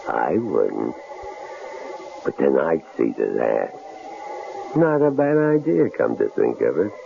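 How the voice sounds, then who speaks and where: An actor playing a big, rough, menacing drifter with a coarse, mocking, halting way of talking, in a 1970s radio drama. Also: male voice, extremely low pitch, exaggerated voice. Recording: dull, noisy old radio broadcast.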